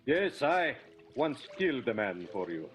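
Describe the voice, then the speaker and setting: A man's voice: medium pitch, sing-song, a little slow, a little hiss or hum. An actor playing a melodramatic, ardent leading man with florid, lingering delivery in an improvised radio soap opera. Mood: ardent devotion. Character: melodramatic ardent silent-film leading man, jealous husband.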